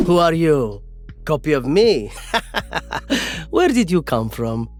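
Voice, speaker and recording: A man voicing a sly, mysterious spy in a video game, with a husky voice and quiet menace. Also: fairly high pitch, big pitch swings, takes it slowly, faint music behind. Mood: curious, sly.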